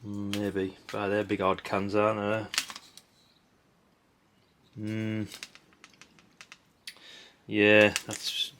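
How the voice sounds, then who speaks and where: A man's voice: lowish voice, completely flat delivery, drawn-out words, pauses often, a bit bright, a little hiss or hum. A middle-aged man, giving casual, unscripted commentary in a chatty, rambling, blunt way. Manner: chatty, rambling, blunt mild swearing, tag questions. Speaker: hobbyist video host. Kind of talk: casual unscripted commentary while sorting old parts.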